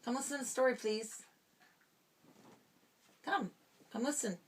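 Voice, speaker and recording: A woman's voice: a little high, measured pace, breathy edge. A woman reading a storybook aloud to a small child, with casual asides between the lines. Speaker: parent reading a storybook to a toddler.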